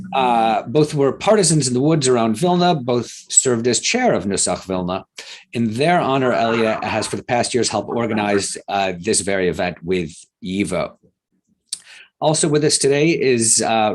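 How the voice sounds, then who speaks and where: A man in the middle pitch range introduces the panel at a commemorative gathering. He reads through the biographies steadily, with frequent pauses and uh sounds between phrases. The sound has the feel of a video call. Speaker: moderator. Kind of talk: introducing panelists at a memorial event.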